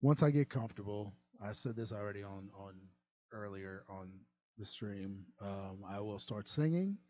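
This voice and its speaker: Low-voiced, rambling man streaming his work. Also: lively intonation, normal speed, dark tone, lo-fi sound, a little hiss or hum. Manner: rambling, chuckling, self-deprecating. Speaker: game modder and streamer. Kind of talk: casual livestream chat.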